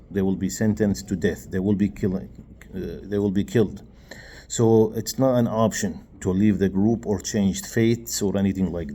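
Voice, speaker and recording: A man who grew up in Syria, giving an informal religious class, explaining with many fillers and restarts and letting his voice trail off quietly at the ends of phrases. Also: lowish voice, a little hiss or hum. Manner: switches into recited Arabic phrases. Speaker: religious teacher. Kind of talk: informal recorded class lecture.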